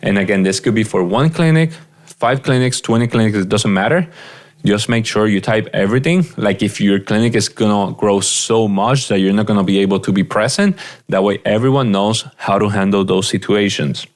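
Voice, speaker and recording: A man's voice: fairly low pitch, normal speed. Earnest man. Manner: earnest, direct, repeats points. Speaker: practice coach. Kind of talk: advice monologue.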